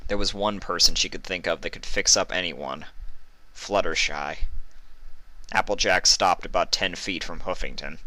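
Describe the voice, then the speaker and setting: Male story narrator: low, bright and forceful voice, scripted read, dry close sound. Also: moderate pace, dry recording, poor audio. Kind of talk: scripted fan fiction narration.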